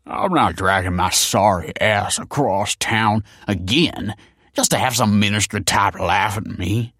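A man's voice: fairly low pitch, a little slow, a bit bright, no room sound. Man as drunken deadbeat father, slurred drawl, self-pitying, belligerent, storybook character, dry sound. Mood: scornful, sulky.

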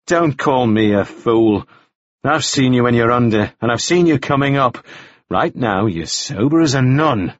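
A man voicing a suspicious, exasperated man who questions forcefully and scornfully in an audiobook. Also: mid-range voice, lively intonation, unhurried, no echo, highs cut off, lightly acted. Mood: scornful, insistent. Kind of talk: audiobook character acting.

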